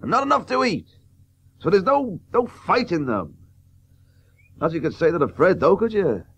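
Bluff victorian doctor in a radio play, swoopy and blustery, bit hissy. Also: male voice, high-pitched, moderate pace. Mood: earnest. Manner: blustery, excitable. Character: famous Victorian cricketing country doctor, bluff and hearty. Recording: hissy old radio broadcast recording.

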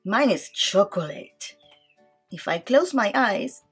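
A woman teaching small children through a read-aloud, with an animated, playful, child-directed delivery. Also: mid-range voice, slow pace, breathy edge, soft background music. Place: small indoor classroom inside a mobile learning vehicle. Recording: distant mic with faint room noise.

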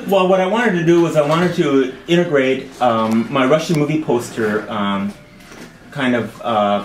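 Man, hesitant, filler-heavy, echoey gallery. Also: medium pitch. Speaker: artist. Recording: echoey room with faint music.

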